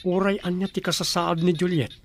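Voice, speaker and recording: A devoted young man in a radio drama, voiced by a man, earnest and pleading. His voice sits a little high for a man. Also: level tone, a little fast. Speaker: son. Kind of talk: amateur radio drama acting.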